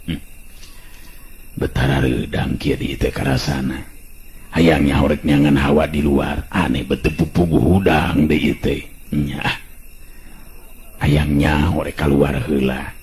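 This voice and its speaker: A man with a Sundanese accent narrating a traditional folk tale in a calm, measured way. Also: low-pitched, a little hiss or hum. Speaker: storyteller.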